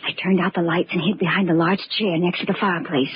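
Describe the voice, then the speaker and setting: An actress playing an eager, loyal confidante in a 1940s radio mystery, earnest and insistent in a theatrical way. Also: female voice, on the low side, fairly even intonation, fast, breathy edge. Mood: earnest, confiding. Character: eager loyal woman confidante turned amateur sleuth. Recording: dull, noisy old radio recording.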